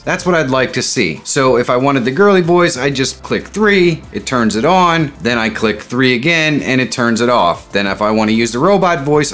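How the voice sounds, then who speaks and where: A middle-aged man with an American accent, hosting a step-by-step software tutorial in a conversational, plain-spoken way. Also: mid-range voice. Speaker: tutorial host. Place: indoor home studio room.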